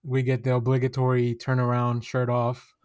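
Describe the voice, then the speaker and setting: A man's voice: mid-range voice, completely flat delivery, moderate pace, darkish tone, smooth, no room sound. A man appearing as a guest on a podcast, talking in an easygoing, joking way. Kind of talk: casual chat.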